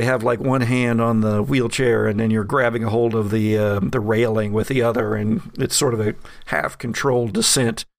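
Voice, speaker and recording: A man narrating a tabletop game as game master, relaxed and chuckling, with many fillers. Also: mid-range voice, gravelly, no echo, studio quality.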